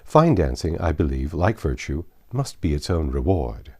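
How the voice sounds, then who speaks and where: A man voicing a grumbling, indifferent Regency gentleman in a novel reading, dry and dismissive. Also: very deep voice, sing-song, normal speed, husky, full character voice. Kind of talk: acted dialogue within a novel reading.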